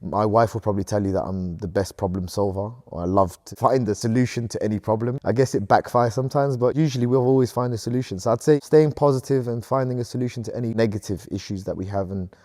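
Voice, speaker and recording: Low, mellow man from Sudan. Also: steady tone, quick pace, few pauses, dry recording, studio quality. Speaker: company co-founder, interview guest. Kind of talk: answering interview questions.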